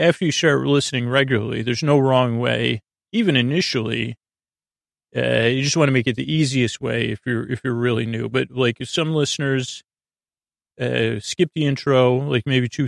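A man with a creaky voice hosting a sleep podcast in a meandering, halting, lulling monologue full of digressions. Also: medium pitch, no echo, clean studio sound. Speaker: podcast host.

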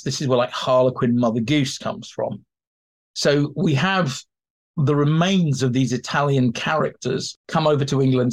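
Man explaining history informally. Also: medium pitch. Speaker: expert guest, theatre historian. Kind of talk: informal explanatory interview talk.

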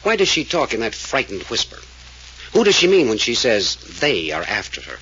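A man's voice: mid-range voice, huge pitch swings, normal speed, pushed and bright. A man playing a blunt, probing private detective in a 1940s radio drama, questioning insistently. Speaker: hired detective. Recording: dull lo-fi old radio recording with faint hiss.

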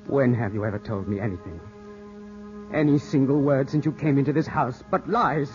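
A man's voice: medium pitch, moderate pace, natural voice. An actor playing an anguished, suspicious young Englishman in love, intense and brooding, in 1950s radio drama style. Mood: jealous, accusing. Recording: dull 1950s radio broadcast, music behind.

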